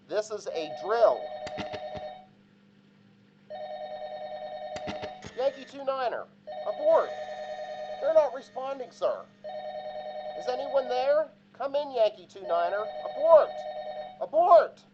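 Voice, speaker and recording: A man's voice: sits high, lively intonation, measured pace, highs cut off, noisy background. A man playing an urgent, clipped military launch officer calling over a filtered radio in an audio drama. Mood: alarmed.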